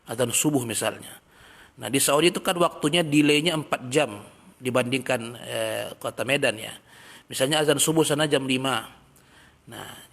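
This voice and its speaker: A man teaching a religious study lesson, reading passages in Arabic and then explaining them in a relaxed, chatty way with everyday examples. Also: fairly high pitch. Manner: reads Arabic text, then explains in plain talk. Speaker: Islamic teacher.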